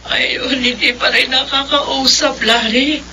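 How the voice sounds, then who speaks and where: Woman playing an anxious, bright-voiced pleading mother. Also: high voice, steady tone, slow, a little hiss or hum, full character voice. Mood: subdued worry. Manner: pleading, emotional. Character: anxious, tearful middle-aged mother pleading for her son. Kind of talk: melodramatic audio drama acting.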